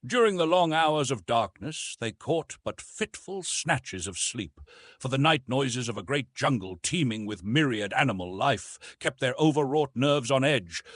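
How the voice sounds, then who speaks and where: A man narrating classic adventure fiction as an audiobook, with dramatic, deliberate phrasing. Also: mid-range voice, fairly bright tone, breathy edge, no room sound.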